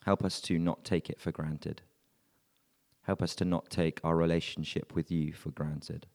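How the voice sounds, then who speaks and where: A man reading scripture and leading prayer in a measured, earnest way at a church service. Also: very deep voice, little pitch movement, darkish tone. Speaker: scripture reader and prayer leader. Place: church hall. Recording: long reverb of a church hall.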